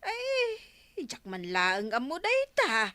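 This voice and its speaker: A woman playing a reluctant, complaining older village mother in a radio comedy drama, whiny and drawn-out, with an Ilocano accent. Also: high voice, wildly swooping pitch, bright, forceful tone, dry recording, exaggerated voice.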